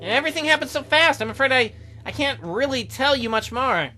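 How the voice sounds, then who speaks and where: A nervous, lonely male house cleaner in a mystery game , put on by a man playing it. He stammers and hesitates, eager to please. The voice is high and bright, with music underneath. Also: expressive, fairly quick, exaggerated voice.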